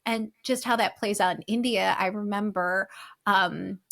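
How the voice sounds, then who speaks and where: A woman's voice: mid-range voice, fairly even intonation, a little slow, creaky, a bit bright. A woman in her forties from the United States, a guest on an interview podcast, talking reflectively and animatedly with many fillers and restarts.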